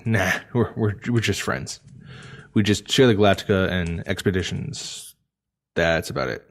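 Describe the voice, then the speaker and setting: A man's voice: deep voice, normal speed. A man playing an easygoing, slightly sheepish space explorer in a sci-fi audio drama, casual and a little hesitant.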